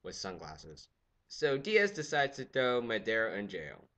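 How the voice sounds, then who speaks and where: A teenage boy giving a goofy, jokey history lecture, swinging from loud exclamations to quiet asides. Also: on the low side, a little slow. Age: teens. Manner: goofy, animated, jumps between loud exclamations and mutters. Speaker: student presenter. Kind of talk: comedic lecture with skits.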